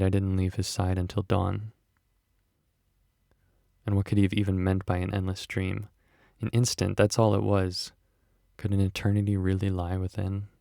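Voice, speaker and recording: A man playing a brooding, obsessive doctor in a horror manga dub, speaking in a grave, detached, gravelly way. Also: low-pitched, mellow, dry recording, clean studio sound. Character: brooding, obsessive male doctor, coldly rationalizing human experiments.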